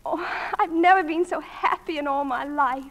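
A woman's voice: high voice, normal speed, a little hiss or hum. A woman acting a breezy, affectionate eighteen-year-old student daughter in 1940s-style radio drama. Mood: excited.

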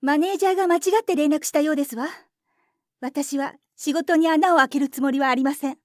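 An actress playing a proud, sharp-tongued veteran diva with a cold, imperious manner in an audio drama. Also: female voice, high voice, fast talker, no room sound, studio quality, close to an everyday voice. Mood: curt, proud.

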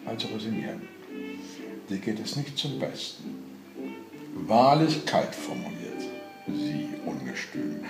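An older man giving a dramatic, halting and deliberate reading of literary prose in a room at home. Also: fairly low pitch, huge pitch swings, roomy sound, over music, low-quality recording. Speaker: literary reader. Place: living room at home, indoors.

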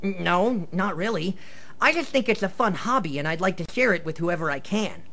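A man's voice: high-pitched, animated, moderate pace, sharp tone, exaggerated voice. An actor playing a nerdy teenage boy in a radio play, earnest and defensive, hesitant and wordy. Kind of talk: live radio play acting.

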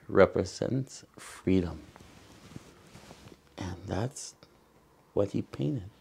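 Slow, gravelly Salvadoran man reading aloud. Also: deep voice, darkish tone. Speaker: children's storytime teacher and host. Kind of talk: reading a picture book aloud with asides. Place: indoor room.

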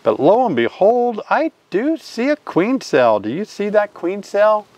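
A man's voice: high-pitched, sing-song, a little slow, darkish tone, dry recording. An older man teaching beekeeping in a casual vlog, chatty and often asking viewers questions, recorded outdoors by a hive. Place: outdoors by a hive, then a covered porch.

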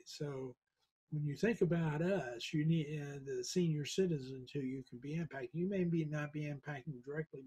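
A man's voice: on the high side, a little mellow, breathy edge, poor audio. A man in his seventies, talking to camera from his living room, earnestly urging and lecturing his listeners, repeating points for weight. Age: 70s. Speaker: podcast host. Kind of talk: earnest direct-to-camera appeal. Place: home living room, indoors.

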